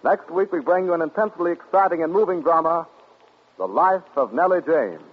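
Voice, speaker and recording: A man's voice: a little high, lively intonation, fairly quick, a little hiss or hum. A man announcing in a polished, ceremonious 1940s broadcast style. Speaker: radio announcer. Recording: tinny 1940s radio broadcast.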